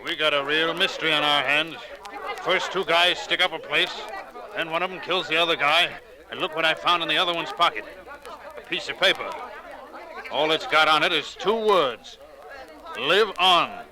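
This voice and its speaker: A man playing a plain-spoken, excitable American patrol cop with clipped slang, in 1940s radio drama style. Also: a little high, moderate pace, long pauses, a crowd in the background, natural voice. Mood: matter-of-fact. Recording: hissy old 1940s radio recording.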